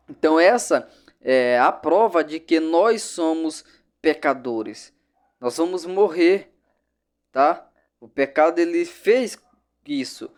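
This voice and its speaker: A man teaching a Sunday school Bible lesson, stressing his points by repeating key phrases and speaking straight to the listener. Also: fairly high pitch.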